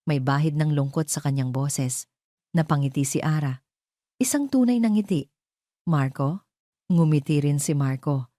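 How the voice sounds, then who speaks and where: A woman tells a scripted romance drama. Her voice sits low and has a soft, dark tone. The sound is dry, with no room on it, as she narrates like an audio storyteller. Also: moderate pace, no echo.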